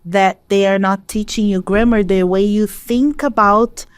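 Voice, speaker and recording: Relaxed woman, dry close voice. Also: medium pitch, a little slow, smooth, dry recording. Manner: thoughtful, laughs easily, hesitant fillers. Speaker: English teacher. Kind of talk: casual podcast chat in English.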